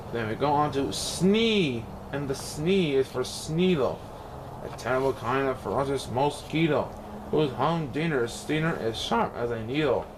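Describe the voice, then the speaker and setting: Male storybook reader, playful and theatrical, very lively pitch, faint noise in the recording. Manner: theatrical, playful rhyming delivery with exaggerated emphasis. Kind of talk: expressive children's picture-book read-aloud.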